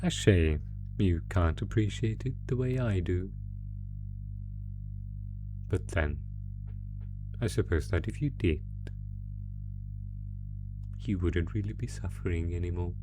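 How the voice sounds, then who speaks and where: Sadistic monster played by a man, low-pitched and unhurried, taunting a captive with mock courtesy, horror audio drama over music. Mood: savoring, cruel. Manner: slow, taunting, mock-polite, savoring pauses. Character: sadistic male monster gleefully toying with a captive. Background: musical score.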